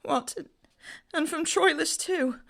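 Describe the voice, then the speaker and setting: A woman's voice: high voice, slow pace, creaky, a bit bright, heavily acted. A woman playing a grief-stricken young Trojan noblewoman in love, speaking classical verse with theatrical emotion in an audio drama. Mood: disbelieving grief.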